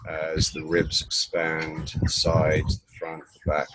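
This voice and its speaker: Very low-voiced man guiding meditation. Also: monotone, background noise. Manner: unhurried, instructional, with pauses between short phrases. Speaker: meditation guide. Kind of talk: guided body scan meditation.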